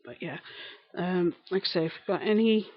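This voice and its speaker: A woman's voice: on the low side, normal speed, dry recording, highs cut off. A woman in her thirties with a broad Lancashire accent, chatting casually on a live stream, greeting viewers and repeating herself as she rambles. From England. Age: 30s.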